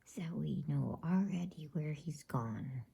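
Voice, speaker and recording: A woman's voice: sits low, animated, unhurried, vocal fry, warm tone, a little hiss or hum, exaggerated voice. A woman whispering as a grave storybook beaver. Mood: grim certainty. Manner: earnest, cautionary, old-fashioned storybook speech. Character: grave, knowing, wary male talking beaver.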